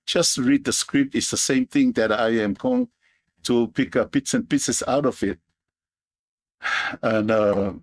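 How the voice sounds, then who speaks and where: A man from Samoa with a Samoan accent who hosts a podcast as a Marine veteran. He talks in a loose, halting monologue full of restarts and repeated words. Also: medium pitch, expressive, no echo.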